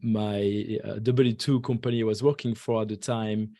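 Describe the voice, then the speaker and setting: A man's voice: on the low side, fairly even intonation, warm tone, dry recording. French-born guy in his 40s, lots of uh and restarts, dry close sound. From France. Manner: halting, many fillers and restarts. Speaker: guest investor. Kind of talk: podcast interview.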